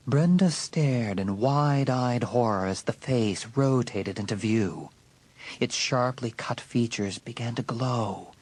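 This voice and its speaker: A man narrating a horror audiobook in a dramatic, suspenseful way with deliberate emphasis. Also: mid-range voice, a little mellow, breathy edge, poor audio.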